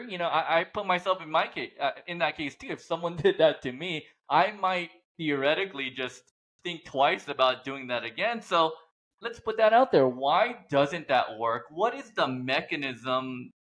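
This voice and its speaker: Podcast host guy, bright sharp voice, kinda high, rambles and fixes himself mid sentence. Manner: rambling, self-correcting. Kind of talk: podcast conversation.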